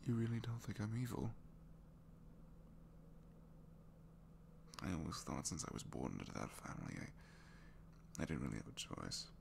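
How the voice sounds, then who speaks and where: A captured supervillain played by a man confides in a low voice that swings expressively up and down. He is bashful, self-deprecating and smitten, halting and dropping into whispers as in an intimate ASMR roleplay. A faint hum sits behind him. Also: wildly swooping pitch, gravelly, full character voice. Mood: earnest, vulnerable. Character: captured young male supervillain, reluctantly evil, bashful and lovestruck. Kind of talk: ASMR romantic audio roleplay.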